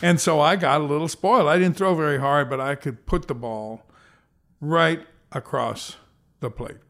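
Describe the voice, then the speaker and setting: Gravelly older man telling stories. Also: on the high side, normal speed, fairly bright tone. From American Southwest. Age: 60s. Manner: rambling, self-correcting anecdotes with playful voices. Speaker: interview guest. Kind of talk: reflective storytelling.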